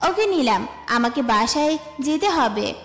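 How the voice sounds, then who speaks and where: High-voiced, friendly, bossy college girl played by a woman. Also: very animated, unhurried, fairly bright tone, exaggerated voice. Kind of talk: romantic audio story dialogue. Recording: echoey reverb with music bed. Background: music.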